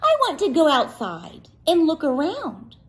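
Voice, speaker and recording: An eager little seal in a storybook, curious about everything, talks in a high, earnest, wondering voice, as a woman reading aloud puts him on. The lines come slowly and the tone rises and falls, full of surprise. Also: huge pitch swings, slight background noise, exaggerated voice. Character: small, eager, curious young male seal.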